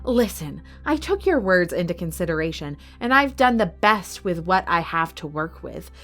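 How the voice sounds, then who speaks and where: A woman's voice: lowish voice, big pitch swings, normal speed, a bit bright. A woman playing a proud, defiant boss of an underground fighting arena in an audio drama, firm and challenging. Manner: firm, challenging, self-assured. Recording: music under the voice.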